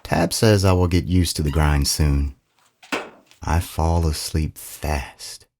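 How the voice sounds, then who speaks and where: A man narrating a scripted first-person story in a dramatic, brooding, deliberate manner. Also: very deep voice, fairly even intonation, darkish tone, slight background noise.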